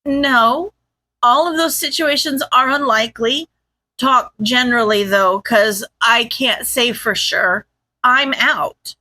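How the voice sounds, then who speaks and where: A woman's voice: fairly high pitch, takes it slowly, pushed and bright, heavily acted. A woman voicing a streetwise female informant in a story, flippant and snarky with breezy bravado. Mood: nonchalant.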